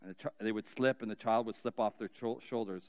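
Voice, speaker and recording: A middle-aged man preaching as a pastor in a church sanctuary, telling a story earnestly and slowing down with soft, trailing endings. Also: lowish voice, fairly even intonation, dull audio. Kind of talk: sermon storytelling and spoken prayer. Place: large church sanctuary, indoors. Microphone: cheek headset mic.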